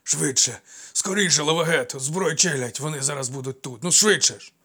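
A man voicing an old male ex-soldier, out of breath and wheezing, talking loud and urgent. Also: mid-range voice, moderate pace, lightly acted. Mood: frantic.

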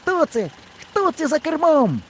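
Storybook boy hero, very high, plucky, mischievous and exclamatory. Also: wildly swooping pitch, unhurried, a little hiss or hum, exaggerated voice. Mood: curious, bright.

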